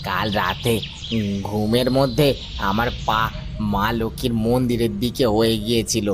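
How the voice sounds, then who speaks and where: A man's voice: lowish voice, steady tone, normal speed, music in the background, lightly acted. A man voicing a gullible, devout village husband in a cartoon moral story, earnest and deferential. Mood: worried, humble.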